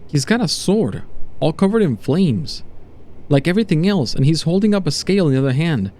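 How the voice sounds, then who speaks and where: Agitated male convict, played by a male narrator, horror audio drama; mellow timbre, raised pitch, halting and emphatic. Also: sing-song. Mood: awed, shaken. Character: condemned male prisoner unraveling from irritation into awed despair.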